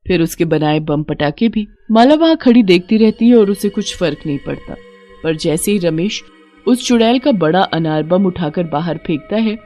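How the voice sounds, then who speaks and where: A woman's voice: fairly low pitch, warm tone. A woman narrator reading a scripted horror story for a cartoon.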